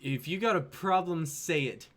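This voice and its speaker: A man's voice: on the high side, normal speed, a bit bright. A young adult man acting out dialogue in a dramatic script reading. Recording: noticeable background noise, online stream.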